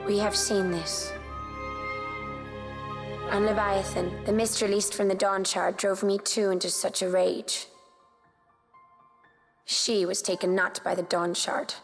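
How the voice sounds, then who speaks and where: A solemn, otherworldly warrior woman from a fantasy game. She speaks very slowly and evenly, stern and formal, over prominent music. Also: medium pitch, little pitch movement. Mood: grave. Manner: stern, measured, archaic phrasing. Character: solemn exiled warrior woman of a woodland race. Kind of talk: dramatic fantasy game acting. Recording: loud orchestral music behind. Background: game soundtrack music.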